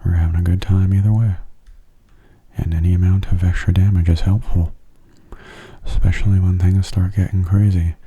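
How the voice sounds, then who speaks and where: Hushed, very low breathy man. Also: lo-fi sound. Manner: calm, unhurried, musing aloud. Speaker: gaming ASMR host. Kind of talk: soft-spoken ASMR game commentary. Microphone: close microphone.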